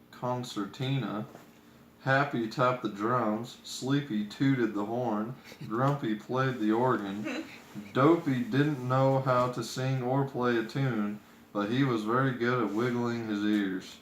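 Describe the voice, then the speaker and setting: A man reading a children's fairy tale aloud in an expressive storytelling style. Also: medium pitch. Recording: echoey room with background noise.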